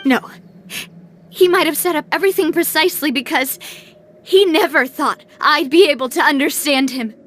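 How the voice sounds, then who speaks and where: A woman's voice: extremely high pitch, sharp tone, exaggerated voice. An actress playing a proud, determined young noblewoman, speaking with emotion and emphasis in a video game cutscene. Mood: anguished, reflective. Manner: emphatic, emotional, well-spoken.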